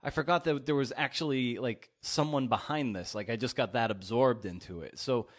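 A man hosting an interview podcast, talking casually and haltingly as he restarts his phrases. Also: medium pitch.

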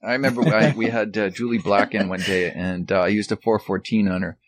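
A man's voice: on the low side, steady tone, a little fast. A man chatting casually as a podcast guest, in an anecdotal style.

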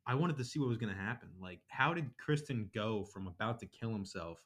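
A man speaks in a somewhat low voice as a podcast co-host. His talk is informal and slangy. He banters and gives opinions as if chatting with a friend about a show. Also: lively intonation, fairly quick, a bit bright. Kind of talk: casual chat reviewing a TV episode.

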